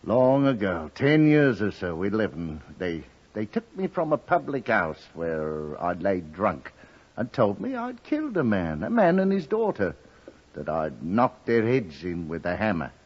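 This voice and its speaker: A doomed, remorseful thief in a vintage radio play. The voice is lowish and husky with very wide swings in pitch, on a dull old recording. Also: male voice, big pitch swings, unhurried, exaggerated voice. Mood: gloomy resignation. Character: doomed male cattle thief, resigned, simple, remorseful drinker. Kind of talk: 1950s radio drama acting.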